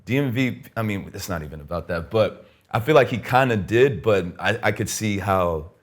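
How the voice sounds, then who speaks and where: Guy raised speaking twi, deep voice, chatty podcast guest just rambling along. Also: moderate pace. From Ghana and United States. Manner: relaxed, rambling with many "like" fillers. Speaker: guest musician. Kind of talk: casual podcast conversation.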